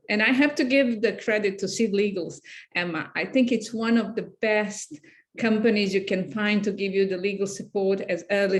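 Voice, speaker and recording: A woman and former investment banker introducing herself on a panel, speaking haltingly with many fillers, earnest. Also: mid-range voice.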